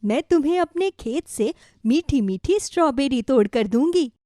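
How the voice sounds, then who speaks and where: Woman as a mellow, kindly old grandmother. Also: high-pitched, expressive, normal speed, no room sound, clean studio sound. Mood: grateful, kindly. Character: grateful, kindly old grandmother, poor strawberry farmer.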